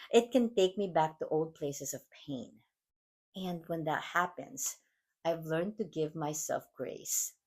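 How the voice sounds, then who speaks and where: Woman, Filipino accent, podcast host, husky, lowish voice, earnest measured monologue. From Philippines. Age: adult mom. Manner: earnest, measured, reflective delivery. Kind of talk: scripted motivational monologue.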